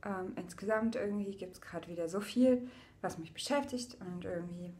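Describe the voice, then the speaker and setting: A young woman from eastern Germany speaks straight into a webcam in a small room. Her talk is loose and unscripted, full of small fillers and restarts, and she turns softer and quieter on the painful parts of her story. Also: medium pitch, moderate pace. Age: young adult. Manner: casual, searching for words, many fillers, drops quieter on painful parts. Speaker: vlogger. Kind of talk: personal video diary. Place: indoors, small room with a painting behind her.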